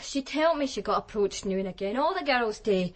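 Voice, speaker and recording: An actress playing a streetwise, defiant Glasgow sex worker with a Glaswegian accent, blunt and colloquial in a radio drama. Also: female voice, on the high side, lively intonation, brisk. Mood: guarded, defiant. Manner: blunt, colloquial, matter-of-fact. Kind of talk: naturalistic radio drama acting.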